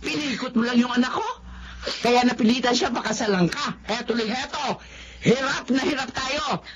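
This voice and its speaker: A woman's voice: a little high, normal speed, crowd noise, natural voice. An actress playing a cruel, nagging older mother-in-law with a Filipino accent, scolding and sneering in a radio drama. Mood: bitter sneering.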